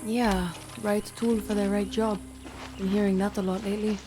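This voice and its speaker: A woman's voice: mid-range voice, flat delivery, normal speed, music in the background, lightly acted. A woman playing a young, tough, wry guerrilla fighter in a video game, speaking dryly and directly. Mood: wry, confident. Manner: dry, streetwise, direct. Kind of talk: video game dramatic acting.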